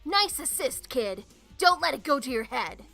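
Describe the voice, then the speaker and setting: Woman voicing a high-pitched, cocky punk speedster girl. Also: very animated, normal speed, vocal fry, music in the background, full character voice. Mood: smug, triumphant. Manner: sneering, slangy, swaggering. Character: brash, cocky young female punk speedster. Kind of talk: comic dub cartoon acting.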